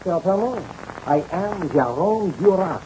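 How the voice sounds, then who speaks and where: A male actor playing a courteous aviator, formal and deliberate, in a 1930s radio drama. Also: high voice, lively intonation, warm tone. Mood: earnest, courteous. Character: courteous French aviator telling his tale of misadventure. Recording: noisy old radio transcription.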